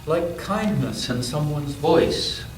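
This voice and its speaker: Slow, lively man reading aloud, echoey room. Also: a little high. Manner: pauses to ask listeners questions about the pictures. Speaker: storybook reader at a church story time for all ages. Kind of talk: reading a picture book aloud to children, with asides. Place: roomy church interior. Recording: echoey room, distant mic, background noise.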